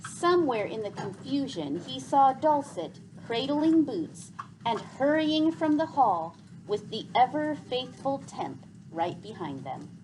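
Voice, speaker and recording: A woman reads a children's adventure novel with very lively, swooping intonation. Her delivery is slow, deliberate and dramatic, on a slightly high voice, with faint noise behind it. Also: low-quality recording. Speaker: read-aloud narrator of a children's novel. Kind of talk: expressive scripted storybook narration.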